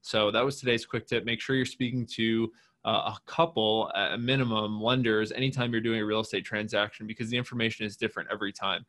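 A man's voice: on the low side, steady tone, quick pace, fairly bright tone. A man hosting a podcast, giving a tip and then asking questions in an upbeat, conversational way. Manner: enthusiastic, conversational, fillers. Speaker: podcast host. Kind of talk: podcast interviewing and tips.

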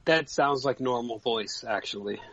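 A man's voice: mid-range voice, normal speed, a bit bright, breathy edge, dry recording. A man in his forties cohosting a podcast, profane and exclamatory. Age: 40s. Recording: dry, dull lo-fi sound.

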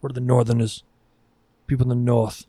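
A man's voice: medium pitch, little pitch movement, fairly quick, dark tone, no room sound. A man hosting a podcast quiz in a casual, bantering style. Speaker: podcast host running a quiz.

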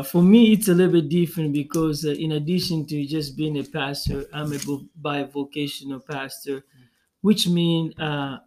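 A man's voice: a little high, darkish tone. A man with a Congolese accent giving reflective interview answers as a guest pastor, hesitating and repeating words as he thinks.

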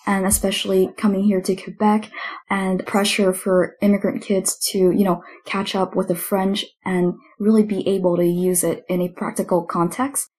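A woman's voice: mid-range voice, level tone, normal speed, a little mellow, slightly rough. A woman whose first language is Vietnamese, a guest speaking politely in casual conversation.

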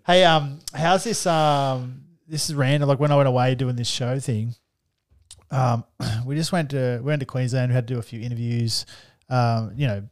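Young Australian guy, podcast co-host, relaxed yarn-spinner, dead dry mic. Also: mid-range voice, moderate pace, no echo. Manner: laid-back storyteller, swears freely. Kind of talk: casual chat.

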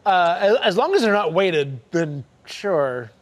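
High-voiced, lively man hosting a chat. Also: slow. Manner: joking, teasing, interjects often. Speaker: host. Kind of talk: casual chat.

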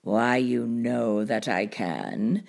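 A big tomcat trickster played by a woman speaks very low and very slowly. He drawls with boastful, chuckling assurance, as in a read-aloud children's storybook, and nothing ever worries him. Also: creaky, a little mellow, exaggerated voice. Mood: cocky. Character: big cocky laughing male cat, lazily confident trickster.

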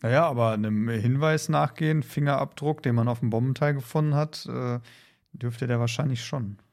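A man's voice: mid-range voice, normal speed, a little mellow. A man speaks in a middle register. He sounds like a podcast co-host in casual discussion. He reasons critically and keeps checking that others agree. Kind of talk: casual discussion of an audio drama.

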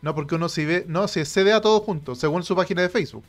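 Man co-hosting a football chat, dry relaxed humour, slightly high voice, faint music behind. Brief. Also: racing. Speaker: podcast co-host. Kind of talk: casual football podcast chat.

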